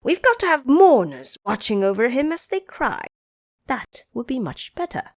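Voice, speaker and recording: A cocky monkey king, played by a woman in a storybook tale, teasing and bossing his companions around. His voice swings up high and wide, and the sound is dry and close. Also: moderate pace, no echo, heavily acted. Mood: lively boastful. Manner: teasing, lively, bossy. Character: mischievous boastful male monkey king.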